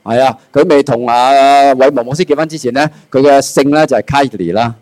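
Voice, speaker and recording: A man interpreting a visiting preacher's sermon line by line in rapid, fluent bursts. He fills his speech with fillers and particles and moves quickly from one phrase to the next. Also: medium pitch, darkish tone. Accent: Cantonese. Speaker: church host and interpreter.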